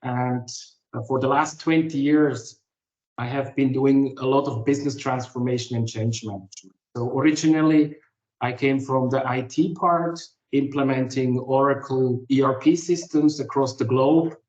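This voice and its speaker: A man's voice: mid-range voice, fairly even intonation, moderate pace, a little mellow. Man on a dull, echoey call line. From Switzerland. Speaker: panelist, change consultant. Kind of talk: self-introduction on a panel call. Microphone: video call.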